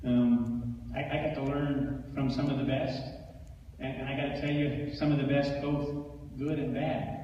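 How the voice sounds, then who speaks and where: Flat, mellow man in echoey hall. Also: mid-range voice, slight background noise. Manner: halting, repeats words. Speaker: school board applicant, former member. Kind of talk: answering at a public forum. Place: large echoey room at public forum. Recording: echoey hall, far off, dull.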